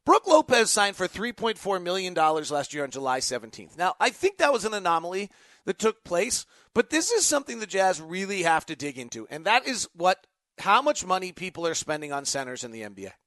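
A man's voice: sits high, big pitch swings, brisk, fairly bright tone, no echo. An American man hosting a casual live sports podcast, conversational and emphatic, giving his opinions as he goes. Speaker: host, basketball announcer and insider.

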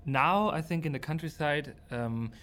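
A man's voice: fairly high pitch, normal speed, fairly bright tone, slight background noise. A man with an Austrian accent and German as his first language, talking reflectively as an architect being interviewed.

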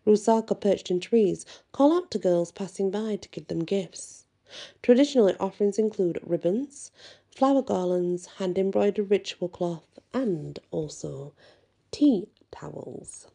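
A woman with a husky, slightly gravelly voice, reading researched notes as an informal narrator and adding casual asides. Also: medium pitch, expressive, unhurried, dark tone. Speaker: host of a series on spirits and folklore.

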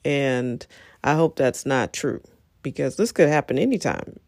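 Low-key scratchy-voiced woman host, dry close sound. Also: expressive, unhurried, creaky, a little mellow, dry recording. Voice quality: a little scratchy. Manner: conversational, encouraging, rambling asides. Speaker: show host. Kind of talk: casual solo talk to listeners.

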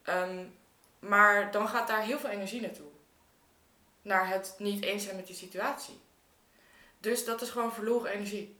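A woman speaks in a bright, sharp-edged voice. She reasons aloud in a casual, reflective way, repeating phrases for emphasis. The sound is roomy, with clear echo. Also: mid-range voice, steady tone, normal speed. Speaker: artist, podcast guest. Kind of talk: casual reflective group conversation. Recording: roomy, echoing room sound.

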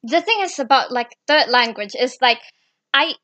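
Teen girl host, bright voice, stutters n restarts a lot, indonesian native speaker. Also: sits high, lively intonation, normal speed, dry recording. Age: teenager. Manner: hesitant, stutters and restarts often. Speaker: podcast host. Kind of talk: casual chat.

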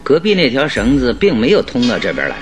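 一位男演员在广播剧中饰演自信、善于追问的侦探，说话从容推敲，带有戏剧腔。. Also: male voice, mid-range voice, huge pitch swings, faint music behind. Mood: probing. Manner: inquisitive, deliberate, theatrical. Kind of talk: Chinese radio drama acting.

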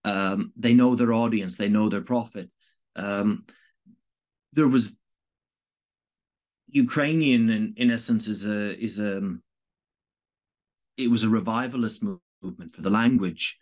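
A man from Canada speaks as an interview guest, his voice sitting a little low. He talks in a halting, reflective way and often pauses to restart a sentence. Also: darkish tone. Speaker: interview guest, volunteer evacuation worker. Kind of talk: conversational interview answers.

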